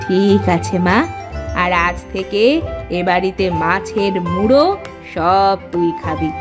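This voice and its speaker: A woman's voice: medium pitch, fairly even intonation, slow, over music, natural voice. A woman playing an emphatic, dramatic village mother in a cartoon. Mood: remorseful. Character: harsh village mother who turns remorseful. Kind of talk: Bengali cartoon voice acting.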